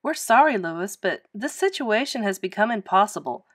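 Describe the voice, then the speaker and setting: A woman acts out an exasperated parent in a children's storybook. The delivery is sensible, firm and complaining, with animated pitch movement and a dry, close sound. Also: normal speed, no echo, natural voice.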